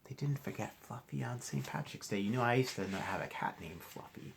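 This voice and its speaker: A man doing an expressive read-aloud for children, chatting to his young listeners in asides and asking them questions directly. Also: mid-range voice, brisk, creaky, a little hiss or hum. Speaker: children's storybook reader, teacher.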